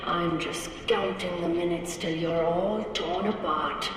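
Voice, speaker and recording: Game villainess: haughty, mocking, lowish voice, booming echo from far off. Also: female voice, fairly even intonation, slow pace. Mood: smug, taunting. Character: smug, taunting villainess, a cold scientist mother. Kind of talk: dramatic video game cutscene acting. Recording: heavily echoing hall, distant, noisy.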